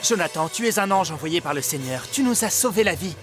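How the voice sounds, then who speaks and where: A man voicing a devout, loyal young warrior leader in a dubbed animated Bible drama. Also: a little high, a little fast, music in the background, lightly acted. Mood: grateful, fervent.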